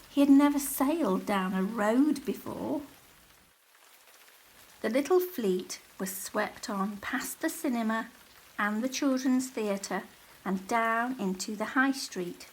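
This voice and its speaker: A woman storyteller reads a children's tale aloud. Her voice is fairly high, and she takes her time, telling it expressively with a sense of drama. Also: a little hiss or hum, rough recording. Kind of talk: scripted children's story narration.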